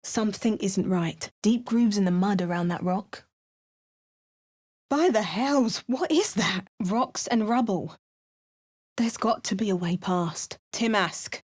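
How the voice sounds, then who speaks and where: A woman's voice: mid-range voice, slow, a little mellow, no echo. A young woman voicing a bold female adventurer hero in a video game, dry and clipped, snapping out spell words. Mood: wary. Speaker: player character.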